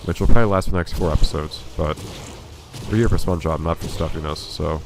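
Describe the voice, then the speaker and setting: Man with a blocked nose, deep voice, dry wry game commentary, steady background hiss. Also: fast talker. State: stuffy, congested. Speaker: let's play host. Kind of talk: casual gameplay commentary.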